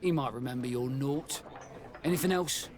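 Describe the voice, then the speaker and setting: An actor voicing a plain-spoken, exasperated tavern keeper in a video game, expressive and grumbling. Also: male voice, medium pitch, normal speed, crowd noise, lightly acted.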